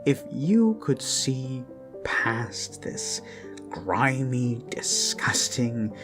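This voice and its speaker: A man voicing an obsessive, menacing yandere kidnapper in an intimate ASMR roleplay, speaking in halting, creepy murmurs that break into sudden emphasis. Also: medium pitch, sing-song. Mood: brooding, intimate. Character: obsessive male yandere kidnapper, gushing, menacing, self-abasing zealot. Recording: background music under close voice. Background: music.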